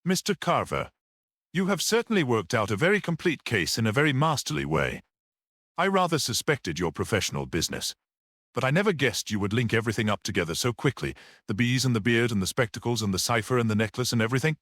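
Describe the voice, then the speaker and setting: A man voicing a modest priest detective in an audiobook, quiet, questioning and sceptical. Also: mid-range voice, sing-song, fast, no echo, studio quality. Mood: modest doubt.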